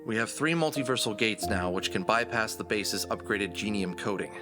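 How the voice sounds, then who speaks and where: A man's voice: on the low side, moderate pace, music in the background, lightly acted. A man playing a determined fox adventurer who leads his team earnestly in an audio drama. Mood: resolute.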